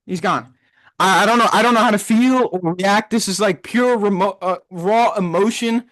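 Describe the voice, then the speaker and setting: Hoarse, ranting high-voiced man. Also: moderate pace, a bit bright. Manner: ranting, repeating himself in frustration. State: hoarse, losing his voice. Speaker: podcast co-host. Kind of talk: heated live sports reaction.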